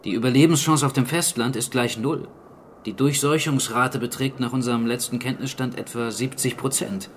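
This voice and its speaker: A man playing a sober archaeologist in a radio drama, speaking in a measured, explanatory way. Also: mid-range voice, fairly even intonation, slight background noise, lightly acted. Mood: grave, factual. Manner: measured, matter-of-fact, explanatory. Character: sober male archaeologist explaining a zombie plague. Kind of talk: naturalistic German radio drama acting.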